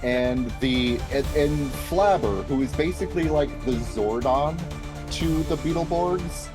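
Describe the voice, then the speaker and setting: A man's voice: on the high side, unhurried. Casual male tabletop player, music behind. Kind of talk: casual chat among players.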